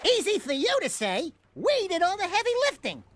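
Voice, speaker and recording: A man's voice: very high voice, wildly swooping pitch, fairly quick, a bit bright, full character voice. A man playing a small, cocky, wisecracking animal sidekick in a cartoonish video game. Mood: complaining, wry. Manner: brash, wisecracking, boastful. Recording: game music behind.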